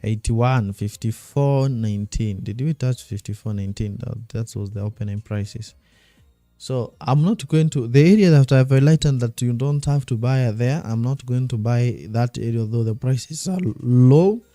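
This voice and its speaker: A man streaming live trading commentary, thinking aloud and repeating himself while he watches the charts, his voice going a little hoarse. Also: mid-range voice, normal speed, mellow, dry recording, faint music behind. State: losing his voice.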